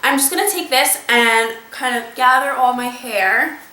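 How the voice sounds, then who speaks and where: A woman's voice: high voice, a little slow, sharp tone, distant mic. A young woman who sounds slightly nasal from a cold, chatting her way through a beauty tutorial step by step with plenty of fillers. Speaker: beauty vlogger. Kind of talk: casual talk-through tutorial. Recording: echoey room, voice a bit off-mic.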